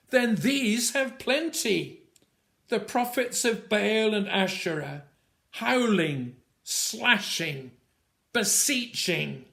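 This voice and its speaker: A man reads his own narrative Bible poem in a measured, deliberate way, in short phrases set apart by pauses. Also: sits high, vocal fry, fairly bright tone. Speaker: poet reading own work. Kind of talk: dramatic poetry recitation of a Bible story.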